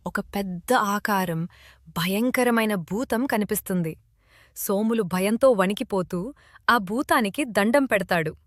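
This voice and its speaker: A woman with a medium-pitched voice narrates a scripted children's moral story. The recording is close and dry, with no room sound. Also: measured pace, no echo.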